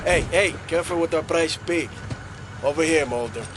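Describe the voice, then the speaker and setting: An actor playing a gruff, bossy male kidnapper with curt, commanding delivery in an audio drama. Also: fairly high pitch, moderate pace, background noise, natural voice.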